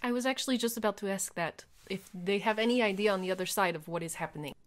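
Woman player, mid-pitched, role-playing. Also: quick pace. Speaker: tabletop game player. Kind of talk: conversational in-character role-play. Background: faint music.

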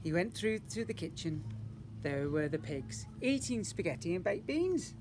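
A man, reading a children's storybook aloud outdoors in an expressive, deliberate way with drawn-out words. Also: high voice. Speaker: school teacher reading to young children. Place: outdoors in a school garden. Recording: outdoor recording with machinery noise.

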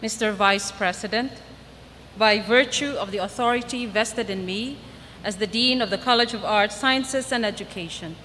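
A woman's voice: mid-range voice, normal speed, fairly bright tone. A woman dean formally presenting graduation candidates at a podium microphone in a large hall. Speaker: college dean. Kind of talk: formal ceremonial address. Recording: reverberant hall, faint noise.

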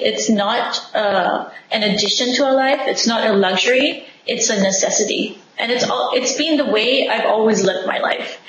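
A young woman from Singapore talking as an interview guest over a video call from her living room, enthusiastic and expressive. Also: on the high side. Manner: enthusiastic, expressive, laughs often. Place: home living room, indoors. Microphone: video call webcam. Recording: echoey room, faint background noise.

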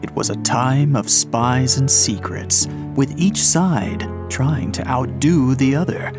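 A man's voice: medium pitch, animated, slow pace, music in the background. A man reading a scripted, dramatic introduction to an audio play.

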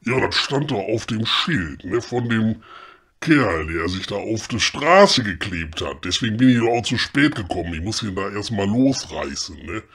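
Man playing a grumpy, husky, low-voiced woodcarver. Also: big pitch swings, normal speed, a bit bright, heavily acted. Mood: chatty. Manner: blunt, colloquial grumbling. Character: grumpy, bossy male woodcarver of a fairy-tale workshop. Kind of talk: comedy audio drama acting.

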